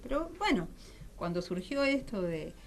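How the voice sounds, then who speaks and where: A woman's voice: mid-range voice, big pitch swings, unhurried, slight background noise. Casting: Argentine woman, Rioplatense accent, radio interview guest, enthusiastic storyteller explaining in a conversational way, mid-range voice. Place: radio studio.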